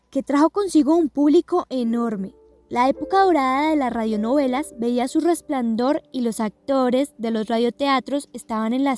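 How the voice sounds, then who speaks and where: A woman's voice: sits high, a little mellow. A woman with a Colombian accent reading scripted documentary narration.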